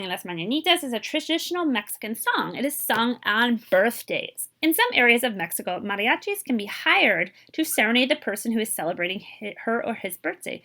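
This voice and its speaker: A woman with a fairly high, bright voice reads a picture book aloud to young children. Her pitch moves in lively swings, with emphatic phrasing. She slips between English and Spanish, explaining as a librarian would at story time. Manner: animated, emphatic delivery for young listeners. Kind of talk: expressive picture-book read-aloud with translations and asides.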